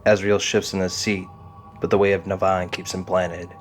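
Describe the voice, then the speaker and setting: A man's voice: sits low, level tone, normal speed. A man reading scripted dramatic narration as the narrator of an audio drama. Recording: music behind the voice.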